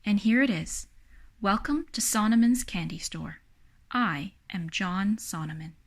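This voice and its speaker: A woman voicing a kindly, earnest man who keeps a candy store, explaining gently and solemnly in a children's storybook. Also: mid-range voice, a little slow. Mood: welcoming.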